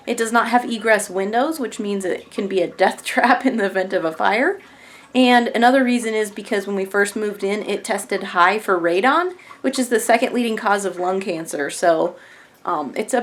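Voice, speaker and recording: An American woman in her thirties with a slightly congested voice, giving a chatty, informal house tour as a vlogger. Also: medium pitch. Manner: chatty, informal, explaining as she goes. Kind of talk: casual house tour narration to camera. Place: inside a small house. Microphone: handheld camera at arm's length.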